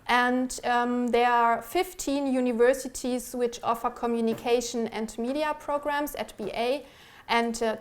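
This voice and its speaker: A woman presenting research in a measured, explanatory academic style, pausing now and then as she goes. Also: a little high. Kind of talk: prepared academic presentation with slides.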